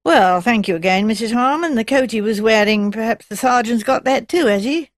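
A woman's voice: on the high side, fairly quick, dry recording, lightly acted. Female narrator as stout middle-aged husband, hearty, insistent, colloquial, audiobook character, close dry sound. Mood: probing. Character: stout, jovial, pushy middle-aged husband feigning grief.

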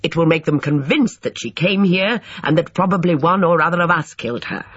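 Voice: mid-range voice, lively intonation, normal speed, fairly bright tone, breathy edge, no echo, dull audio. A narrator voicing a brusque, irritable, well-to-do English businessman, clipped, bossy and indignant, in an audiobook. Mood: angry.

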